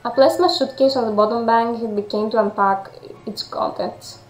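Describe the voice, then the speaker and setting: High-voiced Greek-accented woman in a roomy space. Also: fairly even intonation, moderate pace, faint music behind. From Greece. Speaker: let's player reading a visual novel aloud. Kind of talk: game playthrough with read-aloud narration and chatty commentary.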